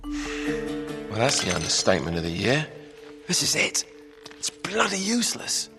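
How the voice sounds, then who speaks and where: An actor playing a sly British thief in a video game, sardonic and breezy with a needling manner. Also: male voice, medium pitch, huge pitch swings, measured pace. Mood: exasperated. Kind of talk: video game cutscene acting. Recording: loud music behind the voice.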